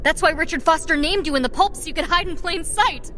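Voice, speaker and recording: An actress playing a feisty young nurse turned vigilante in a radio drama, teasing and challenging with sardonic wit. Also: female voice, very high voice, brisk, pushed and bright. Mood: intrigued, teasing. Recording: faint background noise.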